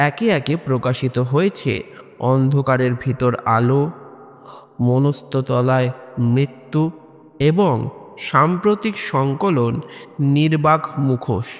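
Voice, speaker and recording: A man presents a scripted introduction to a horror audio story. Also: a little high. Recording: long hall-like reverb.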